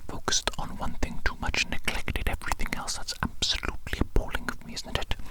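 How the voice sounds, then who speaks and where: A performer whispering close to the microphone as a pompous, manic evil scientist in an ASMR medical exam roleplay, insistently repeating precise phrases. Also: fairly quick.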